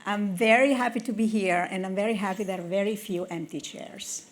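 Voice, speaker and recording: A woman's voice: medium pitch, brisk. Italian-accented woman giving a keynote. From Italy. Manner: earnest, storytelling, with restarts. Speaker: conference speaker, tech executive. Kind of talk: conference keynote talk. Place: conference hall with audience.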